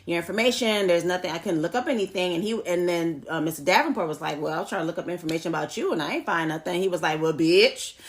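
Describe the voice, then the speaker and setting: A woman's voice: fairly low pitch, fast, hardly any pauses. A woman co-hosting a casual podcast while drinking, recapping a series with profanity and laughter. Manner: profane, laughing, acts out characters' lines. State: tipsy from drinking. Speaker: podcast co-host.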